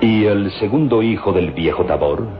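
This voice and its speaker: Deep, dramatically swooping eager professor, acted by a man. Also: animated, moderate pace, natural voice. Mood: probing. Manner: dramatic, insistent, weighty delivery. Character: eager, covetous male professor obsessed with ancient tombs. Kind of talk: old radio serial acting. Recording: dull old radio recording, faint music. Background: faint dramatic music.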